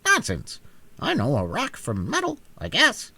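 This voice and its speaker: A man voicing a chatty, self-assured talking hen in a storybook reading, matter-of-fact and quick to retort. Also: sits high, wildly swooping pitch, vocal fry, sharp tone, slightly rough, dry recording, pitched above the performer's own voice, heavily acted. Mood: indignant, triumphant. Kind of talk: storybook character voice in audiobook reading.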